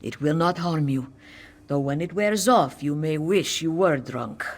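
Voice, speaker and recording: A man voicing a solemn cat-folk mystic in a video game, giving measured, urgent guidance. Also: on the high side. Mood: calm instruction. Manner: grave, measured, instructive. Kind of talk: scripted video game quest dialogue.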